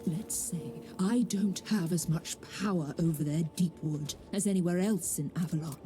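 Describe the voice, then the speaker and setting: A woman playing an ancient, imperious sorceress goddess in a fantasy game, speaking in a theatrical, commanding way with a breathy, whispery edge. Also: fairly low pitch, lightly acted. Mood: cool, instructing. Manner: commanding, haughty, theatrical, deliberate. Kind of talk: dramatic fantasy game voice acting. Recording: dramatic music behind the voice. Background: music.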